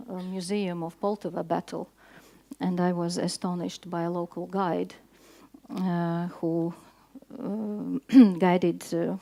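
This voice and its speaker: Latvian-accented woman, mellow voice, flat tone, hesitant panel talk. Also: lowish voice. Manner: halting, many fillers and restarts. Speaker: diplomat panelist. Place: conference hall.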